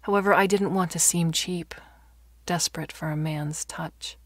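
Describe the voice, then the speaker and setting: Low-pitched, expressive woman narrating fiction. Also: moderate pace, no echo, clean studio sound. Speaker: story narrator. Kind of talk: dramatic audiobook narration of fiction.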